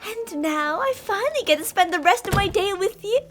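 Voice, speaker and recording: Casting: actress as doting, menacing yandere woman for ASMR drama; high register, very animated, theatrical delivery. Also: brisk, slight background noise. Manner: sugary endearments, menacing, theatrical. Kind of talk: ASMR roleplay monologue.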